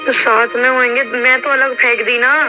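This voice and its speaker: A woman's voice: a little high, flat delivery, normal speed. A young woman on a private phone call, talking insistently and with a sense of grievance, often cutting in on the other person. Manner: insistent, aggrieved, talks over the other. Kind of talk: heated private phone conversation. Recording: muffled recorded phone call, music behind.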